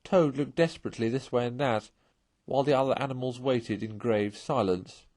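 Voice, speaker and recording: A man's voice: mid-range voice, normal speed, a little mellow, no echo. A man narrating a children's classic read aloud as a storybook. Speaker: audiobook narrator.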